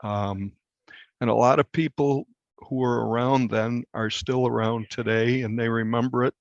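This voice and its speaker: A man being interviewed as a reporter, speaking in a measured, halting way and repeating phrases for emphasis. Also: medium pitch, fairly even intonation, no echo, highs cut off.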